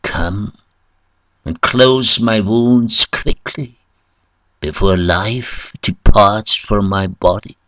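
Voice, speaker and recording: A man voicing a cunning, proud, wounded Satan in a story, pleading in drawn-out, commanding phrases. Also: on the low side, a bit bright, no echo. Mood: urgent, scornful. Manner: slow, drawn-out, commanding pleas.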